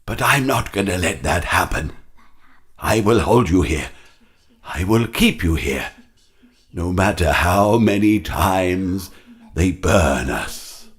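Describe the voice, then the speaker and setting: An actor playing a vengeful, theatrical haunted animatronic villain in a horror game, with a husky, raspy voice. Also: male voice, low-pitched, animated, slow pace, full character voice. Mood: vengeful, menacing.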